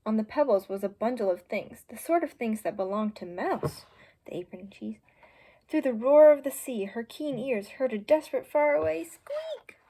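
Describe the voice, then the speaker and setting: A woman's voice: a little high, mellow, slight background noise, poor audio. A young woman in her teens reading a children's picture book aloud, adding chatty, informal asides for young listeners. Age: teenager. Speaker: student volunteer reader.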